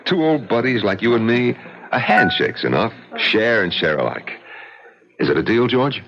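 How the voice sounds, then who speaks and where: Vintage radio schemer: breezy, wheedling buddy, very animated, dull sound with music behind. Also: male voice, fairly low pitch, moderate pace. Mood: chummy coaxing. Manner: chummy, persuasive. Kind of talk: old-time radio drama acting. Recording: muffled old radio recording with music.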